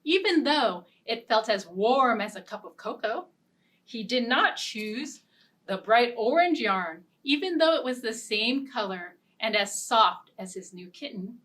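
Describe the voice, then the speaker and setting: A woman librarian leading a children's storytime, singing simple repeated verses and reading a picture-book story in a gentle, expressive way. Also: a little high, a little slow, recorded from a distance. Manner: gentle, sing-song and expressive. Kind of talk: children's storytime with songs and ukulele.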